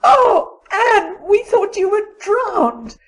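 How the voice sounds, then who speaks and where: An excitable young girl acted by a woman in an audiobook drama. Her voice is extremely high, and she draws her words out slowly in a breathless, devoted gush. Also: full character voice, rough recording. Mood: frantic relief. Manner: breathless, gushing. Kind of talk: audiobook character acting.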